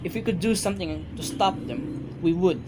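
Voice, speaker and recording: A woman's voice: fairly low pitch, expressive, normal speed, close to an everyday voice. Woman as a brusque, weary police sergeant. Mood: weary explaining. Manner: patronizing, brusque. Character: weary, dismissive male police desk sergeant. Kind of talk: amateur radio drama acting. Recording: faint background noise.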